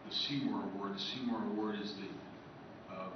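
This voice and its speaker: Male presenter, informal and halting talk, distant mic, heavy room echo. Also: fairly low pitch, fairly even intonation. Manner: halting and conversational, with asides. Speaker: speaker giving a convention presentation. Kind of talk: informal lecture to a live audience. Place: convention meeting room with live audience. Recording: echoey, noisy, dull room recording from far off.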